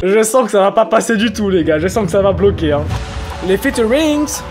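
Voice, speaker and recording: High-voiced man joking through a reaction. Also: lively intonation, a little mellow, faint music behind. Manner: joking, laughing, quick asides to viewers. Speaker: streamer reacting to a rap contest show. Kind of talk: casual reaction commentary.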